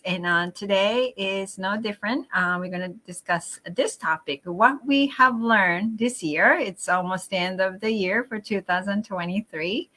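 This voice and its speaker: Bright-voiced Japanese-accented woman hosting. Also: mid-range voice. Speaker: host of a group chat. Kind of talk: casual hosted discussion.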